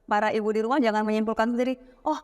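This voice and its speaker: Chatty higher-voiced Indonesian woman host. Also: fairly even intonation, rapid-fire, darkish tone. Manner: chatty, asks questions and voices parents' worries. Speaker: podcast host. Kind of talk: casual interview questions.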